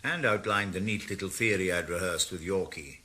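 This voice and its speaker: A man's voice: low voice, a little fast, pushed and bright. An actor playing a wry, world-weary retired Welsh ex-policeman working as a private eye, narrating his own story. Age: older. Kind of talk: radio drama with first-person narration.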